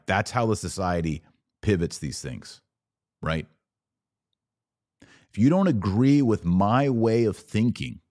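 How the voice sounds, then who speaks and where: A middle-aged American man with a fairly low voice and a very slow, measured pace. He argues like a commentator, pressing points emphatically and posing rhetorical questions. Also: a little mellow. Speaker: podcast host. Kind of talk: opinion commentary on played clips.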